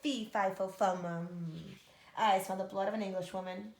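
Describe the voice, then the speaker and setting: A woman's voice: fairly even intonation, normal speed, a little mellow, reverberant, close to an everyday voice. Woman playing a bellowing, greedy storybook giant. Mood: menacing. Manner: booming, bellowing delivery.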